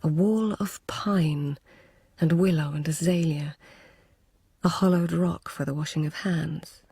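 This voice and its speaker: An actress playing an intimate, anxious court lady in a radio drama, with a hushed, breathy, husky voice and deliberate phrasing. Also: low-pitched, steady tone, no room sound, studio quality, exaggerated voice. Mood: hushed, contemplative. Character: intimate, anxious Japanese court lady who chronicles palace life. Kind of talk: radio drama acting and poetic narration.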